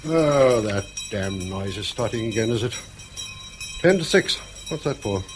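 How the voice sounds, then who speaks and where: A man's voice: lowish voice, animated, a little slow, background noise. An actor playing a cantankerous old English father in a British radio drama, blunt, dry and sardonic. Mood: grumbling, sardonic. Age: older. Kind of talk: mid-century British radio drama acting.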